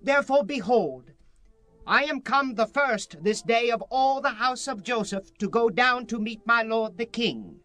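Guy acting an earnest pleading king's subject, super high bright voice, bible drama. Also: animated, measured pace, faint music behind, exaggerated voice. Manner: declamatory archaic speech.

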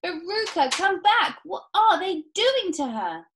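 A frantic storybook mother, acted out by a woman reading aloud, in shrill hysterics. Her voice sits very high and loud, swinging wildly as she shrieks and stammers in panic. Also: very animated, measured pace, full character voice. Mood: panicked.

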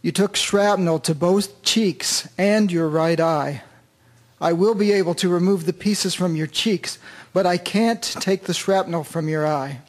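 Man playing a high-voiced, reassuring army doctor. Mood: calm, reassuring. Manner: measured, reassuring. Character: calm male army doctor, kindly commanding officer. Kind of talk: radio drama acting.

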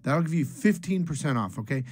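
A man's voice: on the high side, lively intonation, brisk, a little mellow, slightly rough. A middle-aged man hosting a comic book video from a small room at home, talking casually and upbeat with loose restarts. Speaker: comic book channel host.

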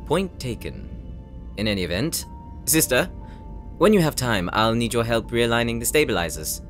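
A man acts out a young, weary engineer brother in an audio roleplay. His medium-pitched voice moves widely in tone while staying dry and formal. There is faint music behind him. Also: lively intonation, moderate pace, natural voice. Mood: exasperated.

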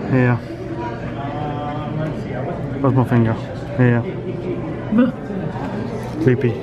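A man's voice: mid-range voice, completely flat delivery, very slow, lo-fi sound. A man in his thirties chatting casually and playfully as he vlogs, filming himself at arm's length. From United Kingdom. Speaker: vlogger. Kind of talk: casual vlog chat. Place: outdoors at a theme park zoo and in an open-sided wooden shelter. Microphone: handheld camera at arm's length. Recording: outdoor park, background music and crowd.

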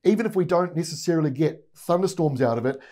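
A man's voice: fairly high pitch, expressive, quick pace, mellow. A man in his forties with a New Zealand accent, giving a conversational weather forecast in a studio on a lapel mic. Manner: conversational, pointing at maps while explaining. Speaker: weather presenter. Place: studio in front of weather maps.

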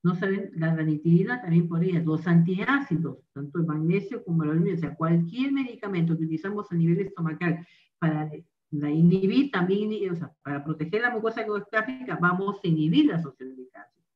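A woman's voice: on the low side, expressive, a little fast. A woman doctor giving an online medical lecture, explaining carefully and checking in with her listeners. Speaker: rheumatologist giving a talk. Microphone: video call microphone. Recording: dull distant video call audio.

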